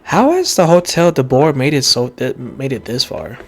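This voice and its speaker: A man giving casual, slangy reaction commentary as a game streamer, in a halting way. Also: mid-range voice, big pitch swings, soft background music.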